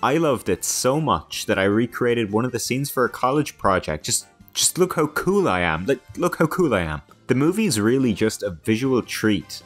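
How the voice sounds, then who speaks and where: A man's voice: medium pitch, big pitch swings, moderate pace, few pauses. A young man giving casual, joking, self-deprecating commentary as a guest in a video essay, over background music.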